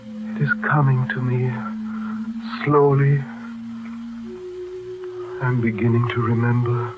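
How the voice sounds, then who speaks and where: A gaunt, obsessed wounded man of a 1940s radio play, voiced by an actor. He speaks slowly and haltingly, brooding on his fate. The sound is tinny and narrow, with music behind. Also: mid-range voice, fairly even intonation, close to an everyday voice. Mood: fevered, ominous. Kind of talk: 1940s radio drama acting.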